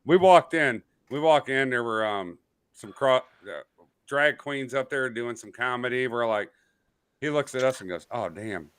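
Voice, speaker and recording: A man's voice: mid-range voice, a little slow, a bit bright, clean tone, no room sound. A man from Missouri with an American accent, casually telling personal stories as a podcast panelist. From St. Louis County, Missouri, USA.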